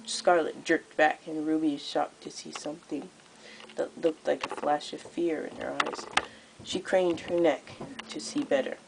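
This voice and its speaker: A teenage girl reading a story aloud as an amateur narrator, expressive but a little halting. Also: deep voice, creaky. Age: teens. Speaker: amateur audiobook reader. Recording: noticeable background noise.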